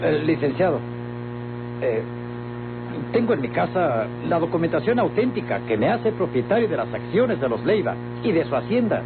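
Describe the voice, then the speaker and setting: An actor playing a menacing, scheming Mexican landowner in an old radio drama. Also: male voice, on the high side, sing-song, moderate pace. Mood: smooth, courteous. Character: menacing scheming landowner villain, outwardly courteous. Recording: tinny vintage radio recording with hum, music.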